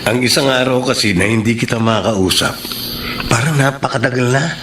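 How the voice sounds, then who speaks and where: An actor playing an earnest, lovestruck young suitor in Filipino radio drama style. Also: male voice, mid-range voice, normal speed, heavy background noise, close to an everyday voice. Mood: eager, smitten. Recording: roomy studio echo, music underneath.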